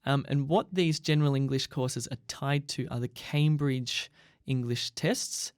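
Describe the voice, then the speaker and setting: A man talks with easy enthusiasm, pitching his points with plenty of ums and restarts. His voice sits in a middle register. The recording is dry, with no room sound. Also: fairly even intonation, unhurried, clean tone, no echo. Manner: enthusiastic, promotional, with many fillers. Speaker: podcast host. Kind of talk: casual podcast chat.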